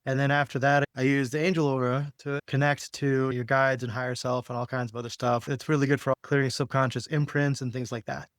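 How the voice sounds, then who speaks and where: Male spiritual podcast host and healer: smooth, clean voice, dry sound, easygoing conversational delivery. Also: medium pitch, flat delivery, brisk, few pauses, no echo. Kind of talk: casual spiritual talk, guiding a healing session.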